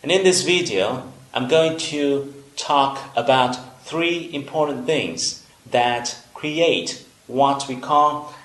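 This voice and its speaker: A young man whose first language is Vietnamese explains English basics with a Vietnamese accent. He speaks slowly and deliberately, pausing often with small fillers. His voice sits at an ordinary pitch and rings in an echoey room. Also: a bit bright. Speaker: English teacher. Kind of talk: slow instructional video lesson.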